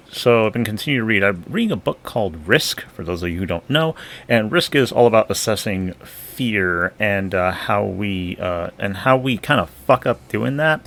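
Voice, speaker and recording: A man's voice: lowish voice, creaky, a bit bright. A man co-hosting a podcast, joking and rambling in casual chat. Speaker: podcast co-host.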